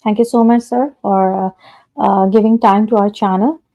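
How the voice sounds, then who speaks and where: A woman's voice: mid-range voice, fairly even intonation, normal speed, dark tone, muffled recording. A woman hosting a live stream, speaking politely and hesitantly with many fillers over a video call. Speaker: host.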